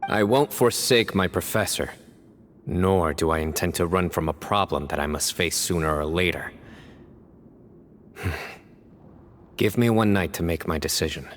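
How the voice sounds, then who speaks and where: An actor playing a stoic, serious young judge in a video game, speaking in a grave, measured way. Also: male voice, low voice, a little hiss or hum. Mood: grave, resolved.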